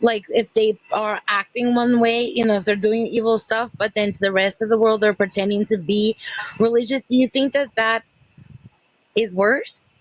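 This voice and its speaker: A woman's voice: on the high side, steady tone, moderate pace, no echo, poor audio. A woman chatting casually on a group livestream, inquisitive in how she speaks. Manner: inquisitive, posing questions.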